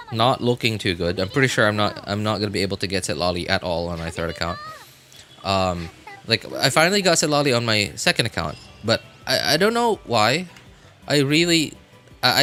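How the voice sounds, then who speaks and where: Bright-voiced young-sounding man rambling on stream. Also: mid-range voice. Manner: rambling, self-deprecating. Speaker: live game streamer. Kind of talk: casual livestream chat while playing a video game.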